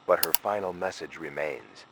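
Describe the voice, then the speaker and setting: A man's voice: very deep voice, lively intonation, normal speed, creaky, heavy background noise. A man narrating a scripted horror story in an ominous style.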